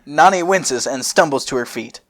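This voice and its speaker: A man's voice: medium pitch, animated, normal speed, a bit bright. A man hosting a casual comedic story reading in a jokey, informal manner. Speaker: fan fiction reader and host.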